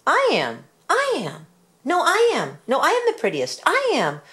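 Vain, insistent storybook cats, played by a woman, high-pitched and clamoring. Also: wildly swooping pitch, a little slow, fairly bright tone, exaggerated voice. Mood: boastful, insistent. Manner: insistent, mewing exclamations. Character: hordes of small, clamoring, quarrelsome vain cats. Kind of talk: storybook character voices.

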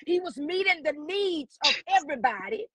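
A woman's voice: very high voice, lively intonation, normal speed, a little hiss or hum. A woman preaching as a guest prophetess on a live stream, fervent and repetitive, building declarations. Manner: fervent, repeats lines, rising declarations. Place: online social media live stream.

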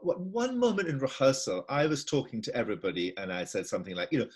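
A man's voice: mid-range voice, expressive, fast talker, darkish tone. An older man with a Scottish accent interviewing a guest over a video call from home, musing and repeating himself as he thinks aloud. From Scotland. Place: home room indoors.

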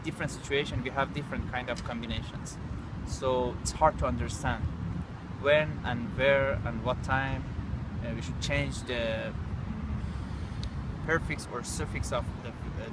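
A young man from Iran, Persian by birth, talks through his answers in careful, halting English. He is interviewed outdoors in a city park on a phone camera, with loud traffic and wind noise all around. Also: mid-range voice, fairly even intonation. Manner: halting, many fillers and restarts. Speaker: guest. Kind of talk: casual interview.

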